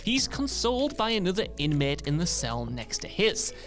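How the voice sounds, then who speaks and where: A male video presenter with a high-set voice reads a scripted countdown. His manner is conversational and lightly wry. Soft music plays behind him. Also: very animated, normal speed. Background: background music.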